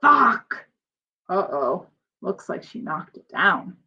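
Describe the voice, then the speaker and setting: A woman's voice: a little high, sing-song, slow, a bit bright, highs cut off. A woman reading a picture book aloud like a teacher, expressively and with simple explanatory asides for young children.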